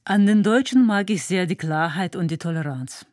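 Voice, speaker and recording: A woman giving measured, thoughtful answers as an interviewee. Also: lowish voice, lively intonation, darkish tone, no echo, clean studio sound. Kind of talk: calm reflective answers.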